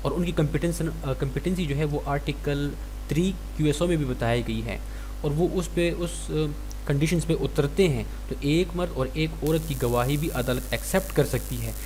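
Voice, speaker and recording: A man's voice: normal speed, a little mellow. A man with a Pakistani accent giving an explanatory law lecture, reading passages out and then explaining them, mixing in English terms. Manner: code-switches between Urdu and English legal terms. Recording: noisy recording with low hum.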